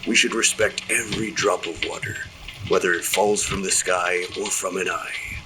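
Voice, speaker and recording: An actor playing a solemn older sea captain in an audio drama, speaking in formal, old-fashioned, poetic phrases. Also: male voice, low voice, steady tone, normal speed, noisy background, close to an everyday voice. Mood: wistful, solemn. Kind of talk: period audio drama acting.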